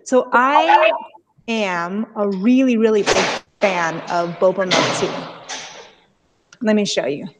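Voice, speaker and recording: A woman's voice: mid-range voice, takes it slowly, long pauses, heavy background noise. Enthusiastic young Californian woman presenting. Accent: American, Californian. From California, United States. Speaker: guest speaker, explorer and storyteller. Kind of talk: informal interactive presentation to school classes. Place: live video call with screen sharing.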